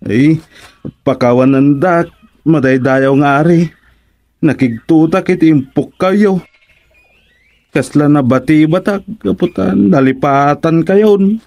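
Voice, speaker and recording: An actor with an Ilocano accent playing a timid, whining soldier in a radio comedy, full of sighs and wails. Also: male voice, fairly high pitch, takes it slowly, vocal fry, long pauses, darkish tone, dry recording. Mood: pleading fear. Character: timid, cowardly, whining male soldier serving his king.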